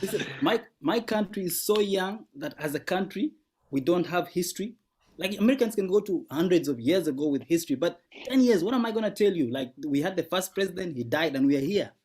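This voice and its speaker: A man's voice: high voice, animated, fairly quick, a little mellow, husky. A man from Sudan, doing a laid-back stand-up set from home over a video call, repeating words and chuckling through his jokes. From South Sudan. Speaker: guest comedian. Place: home at night.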